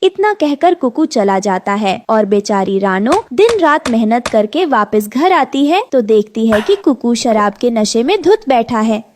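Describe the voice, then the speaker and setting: A woman's voice: on the high side, sing-song, a little slow, hardly any pauses, darkish tone, slight background noise. A woman giving scripted storytelling narration for a moral cartoon.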